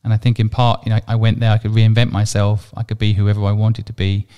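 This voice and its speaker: A man in his forties hosting an interview, asking quick, curious questions. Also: fairly low pitch, monotone, smooth, muffled recording. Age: 40s. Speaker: podcast host. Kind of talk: conversational interview.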